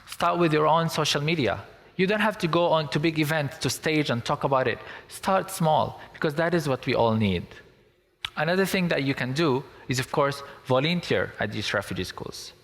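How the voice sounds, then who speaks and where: A man's voice: mid-range voice, fairly bright tone. A young man from Syria giving an earnest, emphatic public talk. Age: young adult. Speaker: speaker. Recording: long hall reverb.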